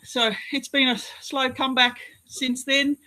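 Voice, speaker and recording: An Australian woman, a rowing athlete, answering interview questions in a relaxed, modest way with some hesitation. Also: sits high, measured pace.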